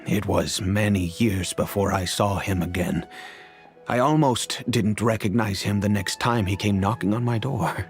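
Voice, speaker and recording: A man playing a dignified middle-aged father and family head in a video game, speaking formally and solemnly. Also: lowish voice. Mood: reflective. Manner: formal, grave, measured. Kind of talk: video game character acting. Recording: music behind the voice.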